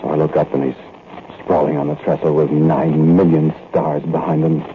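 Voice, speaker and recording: An actor playing a nervous thief who narrates a night break-in in first person, in a 1940s radio drama. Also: male voice, rumbling low, level tone, normal speed. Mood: uneasy. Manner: tense, confiding first-person storytelling. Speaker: narrator and lead character. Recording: tinny, noisy old radio recording.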